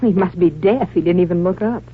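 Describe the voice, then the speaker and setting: An actress playing a pert, teasing assistant to a detective in an old-time radio drama. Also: female voice, on the low side, a little fast, close to an everyday voice. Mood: curious, teasing. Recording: tinny, hissy vintage radio recording.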